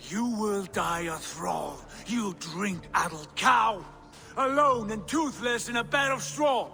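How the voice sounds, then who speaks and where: An actor playing a proud, hot-headed young Viking heir, declaiming indignantly in dramatic game dialogue. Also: male voice, high voice, slow, vocal fry, pushed and bright, heavily acted. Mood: outraged, bitter. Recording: long echoing hall reverb, music behind.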